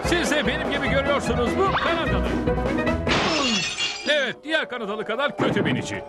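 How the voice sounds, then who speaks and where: Rodeo announcer: high-pitched, animated, showy cartoon commentary, loud music underneath. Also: male voice, fairly quick. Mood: excited. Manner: shouty, showy. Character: excitable small-town rodeo announcer. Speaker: contest announcer. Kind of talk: Turkish cartoon dub, commentary.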